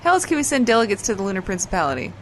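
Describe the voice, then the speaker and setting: A woman's voice: medium pitch, sing-song, racing, slight background noise, close to an everyday voice. A woman playing an exasperated, dry-witted character in casual audio drama banter, sardonic and matter-of-fact.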